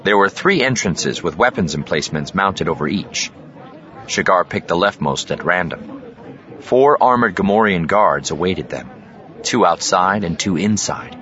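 Deep-voiced male audiobook narrator. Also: lively intonation, measured pace, a bit bright. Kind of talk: dramatic scripted narration of science fiction. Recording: slightly dull studio track over ambient effects.

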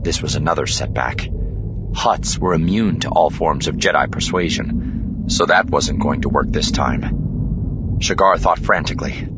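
A man's voice: very deep voice, measured pace, very noisy. A man reading science fiction as a dramatic, scripted audiobook narration. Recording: slightly dull studio track over ambient effects.

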